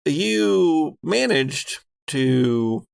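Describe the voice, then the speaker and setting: Gravelly man game-mastering a horror tabletop game. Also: a little high, very animated, extremely slow, dry recording. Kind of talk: narrating a tabletop horror role-playing game.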